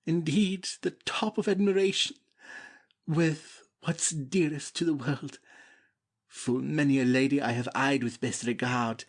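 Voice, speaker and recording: A man's voice: mid-range voice, natural voice. A man playing an ardent, humbly devoted young prince in a dramatic Shakespeare audiobook, declaiming verse fervently. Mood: adoring.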